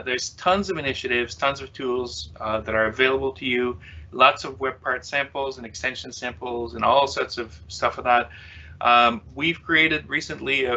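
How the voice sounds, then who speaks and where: A man's voice: mid-range voice, bright, forceful tone. A man from Quebec City whose first language is French, speaking with a French Canadian accent and giving a chatty, self-mocking tech talk from his home office, with many "uh" fillers. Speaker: conference speaker, developer consultant. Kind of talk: self-deprecating tech presentation. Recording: slightly dull lo-fi webcast audio.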